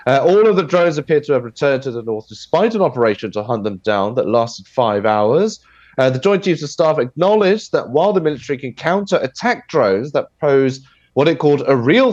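A man with a cold, sounding nasal and husky, explaining news items on a video call with a steady delivery full of fillers. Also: medium pitch, normal speed, dry recording, highs cut off. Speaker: news commentator. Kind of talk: radio news discussion.

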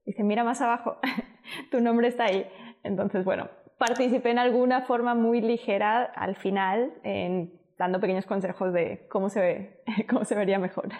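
A woman's voice: mid-range voice, steady tone, moderate pace, darkish tone. A woman in her thirties from Ecuador with an accent mixing Spain and Mexico City, chatting as a podcast guest, laughing often and trailing off mid-phrase. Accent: Spanish from Spain mixed with Mexico City. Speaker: guest, entrepreneur. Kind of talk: casual conversational storytelling.

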